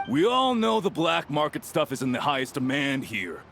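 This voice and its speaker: A man's voice: on the high side, sing-song, moderate pace, creaky, a bit bright, a little hiss or hum, heavily acted. A man voicing a surly, aggrieved punk who bullies people, in a video game. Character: aggrieved male punk, surly bullying troublemaker.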